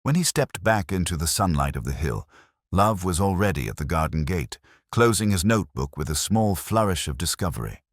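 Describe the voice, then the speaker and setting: An extremely deep male voice reads mystery fiction as a measured narrator. The delivery moves widely in pitch, with a slightly husky grain and a very dry, close sound. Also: sing-song, no echo. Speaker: audiobook narrator. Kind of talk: scripted literary mystery narration.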